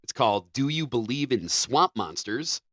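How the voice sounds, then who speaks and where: A man co-hosting a casual podcast, quick to laugh at himself. His voice is bright and forceful. The sound is very dry. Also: mid-range voice, expressive, no room sound. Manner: laughing, self-deprecating. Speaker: podcast co-host. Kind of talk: casual chat.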